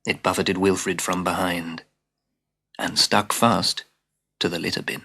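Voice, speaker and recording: A man narrating a scripted children's story as a dramatic, expressive storyteller who drops into hushed asides. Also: low voice, measured pace, creaky. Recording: hissy old cassette tape.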